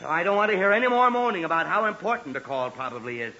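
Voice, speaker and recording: A man's voice: sits high, big pitch swings, fast, sharp tone, heavily acted. An actor playing an exasperated, blustering middle-aged American father in an old-time radio comedy. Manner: blustering, sputtering, nervous. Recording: muffled, hissy old radio recording.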